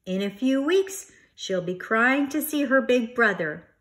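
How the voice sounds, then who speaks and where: A kindly father bear from a children's storybook , performed by a woman. He is soothing and encouraging, with an animated rise and fall in pitch. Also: unhurried.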